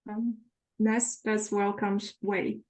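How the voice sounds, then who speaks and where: A woman moderates an online panel, welcoming each speaker in turn. Her voice is mid-pitched and plain. She keeps things moving from one guest to the next. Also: flat delivery, slow, darkish tone, low-quality recording.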